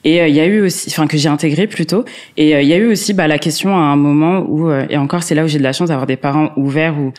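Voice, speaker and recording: A woman's voice: sits low, fast, a little mellow. A young woman in casual conversation, talking with many fillers and restarts. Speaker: co-host. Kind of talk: casual chat.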